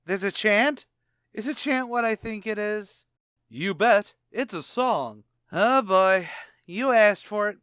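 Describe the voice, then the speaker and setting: Man acting a high-pitched, blustery tavern bluffer. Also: slow, a bit bright, clean tone, no echo, clean studio sound. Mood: flustered. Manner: boastful, stammering, ungrammatical. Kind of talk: 1940s radio comedy acting.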